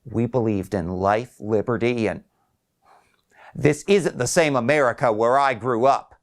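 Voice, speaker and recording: A man voicing an indignant, desperate older man with a blustering, halting delivery in a short story. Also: medium pitch, close to an everyday voice. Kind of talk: acted short story dialogue.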